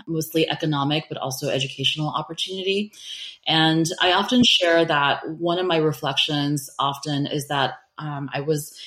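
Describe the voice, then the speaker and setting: Low-voiced American woman, thoughtful. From born in Taiwan, raised in the United States from age five. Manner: thoughtful, hedging with kind of and sort of. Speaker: interview guest, public education professional. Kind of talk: reflective interview conversation.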